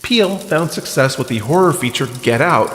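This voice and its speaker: A man's voice: fairly high pitch, animated, normal speed, fairly bright tone, a little hiss or hum. A man hosting a podcast in a casual, wry, self-deprecating and informal way. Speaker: podcast host. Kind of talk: casual podcast talk with read-out news items.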